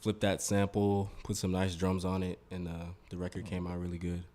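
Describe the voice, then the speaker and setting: Calm deep-voiced male producer. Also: brisk. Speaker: music producer guest. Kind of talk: casual interview chat. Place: studio.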